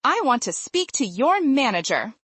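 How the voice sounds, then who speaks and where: A woman's voice: high voice, big pitch swings, normal speed, fairly bright tone, slightly rough, no echo, heavily acted. A woman voicing an entitled, angry female customer who is indignant and accusing, in a dramatized story.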